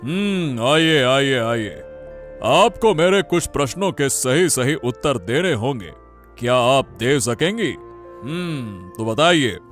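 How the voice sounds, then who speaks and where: A man's voice: mid-range voice, animated, slow pace, a bit bright, faint music behind. A man voicing a dutiful, self-important king in a cartoon story, with a regal, commanding manner. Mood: questioning. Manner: regal, commanding, repeats himself. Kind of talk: Hindi cartoon story voice acting.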